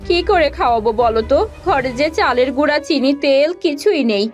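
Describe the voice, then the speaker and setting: A woman's voice: sits high, lively intonation, brisk, faint music behind. A woman playing a caring, worried wife from a poor village household in a cartoon folk tale, phrasing things carefully.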